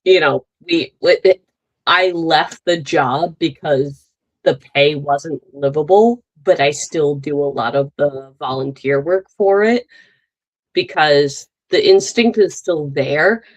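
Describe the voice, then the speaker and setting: Slow low-voiced woman in her thirties. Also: dull audio. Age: 30s. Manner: rambling with many fillers and restarts. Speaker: podcast co-host. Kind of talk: casual chat.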